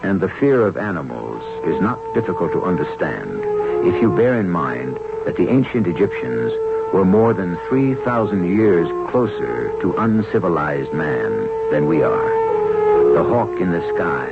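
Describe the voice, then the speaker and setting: An older man hosting a radio drama, narrating in a measured, theatrical way with a gravelly voice. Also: deep voice. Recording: dull old radio broadcast. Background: dramatic music.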